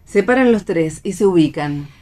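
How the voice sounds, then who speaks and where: A woman's voice: lowish voice, expressive, normal speed, dark tone. A woman narrator reading stage directions as scripted narration for radio theatre.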